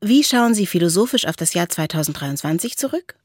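A woman's voice: on the low side, animated, fairly quick, no room sound, clean studio sound. A woman co-hosts a discussion podcast, reading out listener mail and talking it over thoughtfully. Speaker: podcast co-host.

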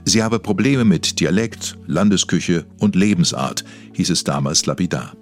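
A man's voice: sits low, expressive, moderate pace. A man presenting an investigative documentary, reading scripted narration. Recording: music underneath. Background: background music.

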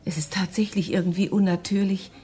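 An actress playing a devoted, hesitant woman in love in a radio crime drama, soft and often whispering. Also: medium pitch, fairly even intonation, fairly quick, close to an everyday voice. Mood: anxious, trusting.

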